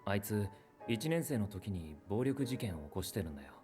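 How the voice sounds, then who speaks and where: A man's voice: on the low side, big pitch swings, very fast. A man voicing a dry, caring teenage schoolboy in an anime, speaking informally and matter-of-factly. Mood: serious explaining. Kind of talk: anime voice acting. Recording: music behind the dialogue.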